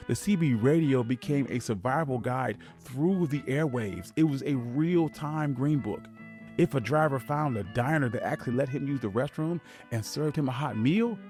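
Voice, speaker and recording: A man's voice: medium pitch, darkish tone. Man narrating a history documentary over music. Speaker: narrator and host of a history show.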